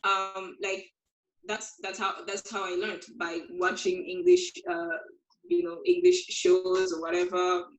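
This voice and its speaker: A woman's voice: mid-range voice, expressive, unhurried, creaky. Woman in an echoey room, on a video call. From Congo. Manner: hesitant, repeats words, many "like" fillers. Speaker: guest, language teacher. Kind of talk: casual video-call interview.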